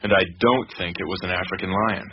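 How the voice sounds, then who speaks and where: A man's voice: low-pitched, steady tone, a little fast, close to an everyday voice. An actor playing a guarded, matter-of-fact male witness in a radio drama, talking in an emphatic, down-to-earth way. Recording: muffled radio recording with clicks and hiss.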